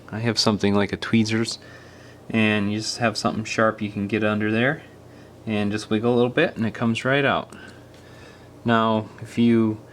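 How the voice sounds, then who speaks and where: Low-voiced man giving a hands-on tutorial. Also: fairly bright tone, a little hiss or hum. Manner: casual step-by-step explaining, trailing off mid-phrase. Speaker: repair tutorial presenter. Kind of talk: hands-on how-to narration, unscripted.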